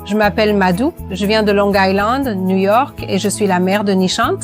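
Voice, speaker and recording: A woman's voice: mid-range voice, steady tone, moderate pace, lightly acted. A woman voicing a traditional-minded mother of the groom in a dubbed reality show. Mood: agreeable. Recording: faint music under the voice.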